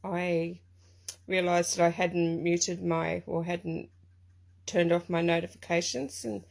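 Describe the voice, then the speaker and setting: A middle-aged Australian woman from Tasmania with a croaky voice, chatting casually and rambling with lots of ums as she hosts a live craft stream from her craft room. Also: on the low side, steady tone, slow, a little mellow.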